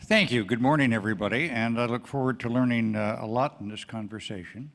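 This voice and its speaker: A man's voice: mid-range voice, fairly quick, a bit bright. A man speaking as a panel moderator in an unscripted conversational way, recorded with hall echo. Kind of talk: spontaneous panel questioning.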